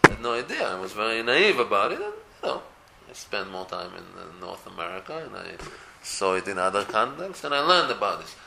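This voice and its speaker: Animated man from Israel, gravelly voice. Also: on the low side, roomy sound, a little hiss or hum, low-quality recording. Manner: animated, many restarts, rising to emphatic peaks. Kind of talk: casual religious talk.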